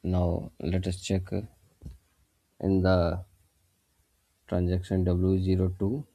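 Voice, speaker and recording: A man narrating a step-by-step software tutorial in a plain, methodical, explanatory way. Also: low-pitched, monotone, slow pace, no room sound. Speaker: software instructor.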